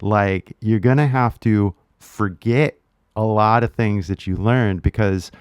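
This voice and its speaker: A young man with a relaxed Californian accent talks through a lesson on the mic, easygoing and slangy, tossing in "right?" every few lines. His voice sits a little low and comes across smooth and resonant. The sound is dry and close. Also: dry recording. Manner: laid-back, slangy, keeps asking "right?". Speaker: language teacher. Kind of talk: casual lesson to camera.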